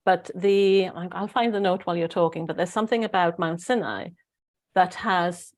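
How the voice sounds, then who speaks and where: A woman's voice: lowish voice, normal speed, darkish tone. A woman with a Welsh accent hosting a casual spiritual group discussion in an informal, rambling way. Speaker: host.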